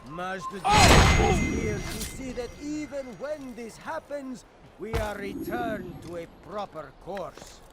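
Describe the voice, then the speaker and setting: An actor playing a devout, zealous street preacher in a video game, declaiming in a solemn, preachy way. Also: male voice, very high voice, very animated, slow pace, very noisy, full character voice. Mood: fervent, righteous. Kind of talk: oratory in a video game cutscene.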